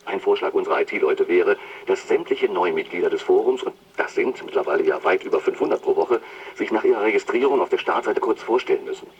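Fast-talking, pompous male company boss. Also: low voice, animated, slightly rough. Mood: officious, demanding. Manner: officious, bureaucratic, commanding. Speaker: actor in a radio drama. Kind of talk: radio drama acting. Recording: dull lo-fi sound with faint noise.

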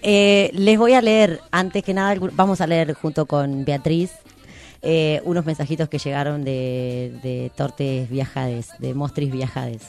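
A woman's voice: deep voice, fairly even intonation, measured pace, a little mellow, faint music behind. A woman with a Rioplatense Argentine accent co-hosting a casual radio chat in a playful, teasing way. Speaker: radio co-host.

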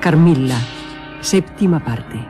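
Credits announcer for radio drama, formal read, mid-range pitch, prominent music bed. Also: lively intonation, takes it slowly. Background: loud music.

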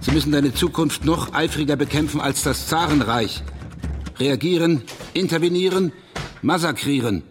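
A man's voice: a little high, moderate pace, background noise, lightly acted. An actor playing a bitter, foreboding reactionary man in a radio drama, speaking in accusatory rhetorical questions. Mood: threatening. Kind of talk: dramatic radio play monologue. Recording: studio radio play with loud music.

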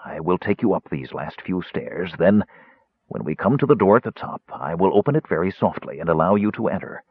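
A stern wizard of fantasy storybooks, played by a man, speaks in soft, deliberate commands. The voice is low and husky, recorded dry with a narrow, muffled band. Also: animated, dry recording. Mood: solemn warning. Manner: soft, measured, hushed orders. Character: stern red-robed male mage, commanding and solemn.

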